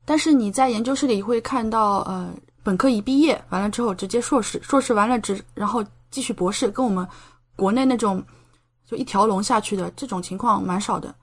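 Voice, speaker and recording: A young woman from China, a doctoral student speaking as an interview guest, explaining things in a conversational way. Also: medium pitch. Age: young adult.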